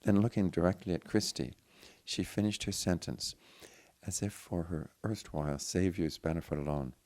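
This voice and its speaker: A man reading a literary story aloud with theatrical, deliberate phrasing. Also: low-pitched, fairly even intonation, darkish tone, dry recording. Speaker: audiobook reader. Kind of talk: dramatic literary story narration.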